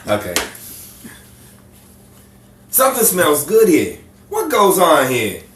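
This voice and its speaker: A man's voice: a little high, wildly swooping pitch, slow pace, distant mic. A man rehearsing as a radio drama actor, trading playful, joking banter and reading out lines. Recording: echoey room, off mic, faint noise.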